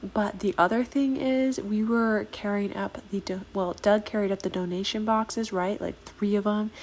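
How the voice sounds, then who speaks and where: A woman in her thirties narrating a home video in a casual voice-over, her voice a bit hoarse from a cold, chatty and quick to laugh. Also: medium pitch, darkish tone, slight background noise. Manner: chatty, self-deprecating, laughs often. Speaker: vlogger.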